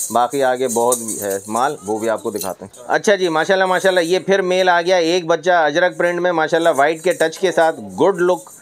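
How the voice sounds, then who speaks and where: A middle-aged man giving a chatty, informal sales presentation as host, peppering his talk with pious phrases and English words. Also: on the high side. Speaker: host of livestock market videos. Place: roofed block-walled goat pen.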